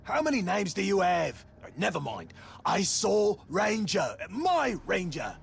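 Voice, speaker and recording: An actor voicing a bumbling, boisterous orc in a video game, with a gravelly high voice and rambling, exclamatory delivery. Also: male voice, wildly swooping pitch, unhurried, heavily acted. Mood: eager, flustered. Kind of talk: comic video game acting. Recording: music behind. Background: music.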